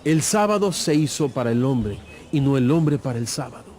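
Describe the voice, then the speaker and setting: A man playing a calm, firm teacher with measured, emphatic delivery in a dramatized audio Bible. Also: medium pitch, close to an everyday voice. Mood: firm, explaining.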